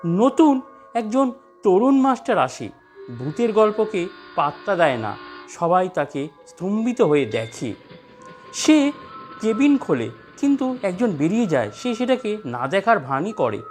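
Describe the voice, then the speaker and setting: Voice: medium pitch, very animated, unhurried, a little mellow, faint music behind. A narrator reading a scripted horror story.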